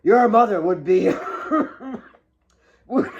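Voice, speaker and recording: A man voicing a stern, ailing old father, gruff and exasperated, with a gasping delivery. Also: high voice, expressive, very slow, muffled recording, voice pushed up, exaggerated voice. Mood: angry, exasperated.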